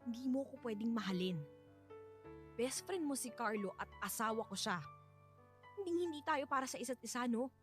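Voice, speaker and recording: An actress playing a stubborn, prickly, grieving young widow in a Filipino radio drama, with emotional, protesting delivery. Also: female voice, fairly high pitch, animated, normal speed, pauses often, faint music behind, close to an everyday voice. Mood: shocked, upset.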